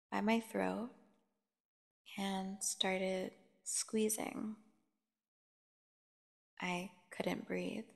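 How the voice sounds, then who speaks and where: A woman playing a captive female vampire in an ASMR audio drama, seductive, taunting and menacing, telling her tale with teasing pauses and dropping at times to a near whisper. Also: mid-range voice, gravelly. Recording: long hall-like reverb.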